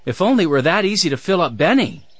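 A man's voice: sits high, sing-song, fast talker, no echo, voice pushed up. A man voicing a capable, easygoing fourteen-year-old big brother in a children's audiobook. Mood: friendly.

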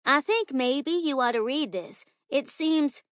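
A woman's voice: high voice, animated, slow pace, dry recording, studio quality, voice pushed up. A woman voicing a naive, eager little schoolgirl in a storybook, earnest and polite. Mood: hesitant.

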